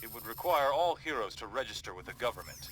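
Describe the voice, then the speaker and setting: An earnest male superhero leader voiced by an actor in a game cut scene. He sounds measured and resolute, with mid pitch and lively inflection, a steady rumble behind him. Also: close to an everyday voice. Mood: concerned, resolute.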